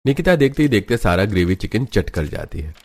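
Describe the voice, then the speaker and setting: A man's voice: fairly low pitch, sing-song, a little fast, gravelly, darkish tone. A man reading scripted storytelling narration for a cartoon family tale.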